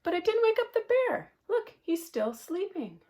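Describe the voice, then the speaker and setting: A woman reading a picture book aloud to children, expressive, with gasps and chatty asides. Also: extremely high pitch, moderate pace. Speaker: storybook reader.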